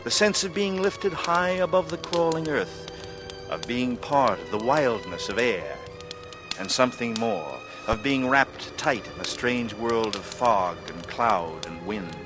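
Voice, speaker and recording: An actor playing a secretive, coolly sardonic young ex-pilot in a 1940s radio drama, with an American accent and a measured, brooding delivery. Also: male voice, medium pitch, animated, natural voice. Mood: brooding, wistful. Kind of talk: 1940s radio drama acting, first-person noir narration and dialogue. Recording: vintage radio recording with prominent music.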